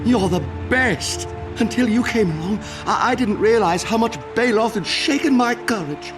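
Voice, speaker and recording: A man's voice: sits high, animated, moderate pace. A man playing a self-justifying fantasy clansman who speaks in a formal, archaic way. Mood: humbled. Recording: distant mic under music.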